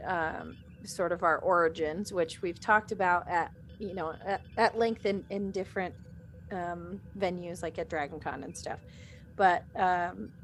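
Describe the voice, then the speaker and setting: A woman moderating a casual panel chat on a video call in an informal, conversational style. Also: lowish voice. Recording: noisy video call audio.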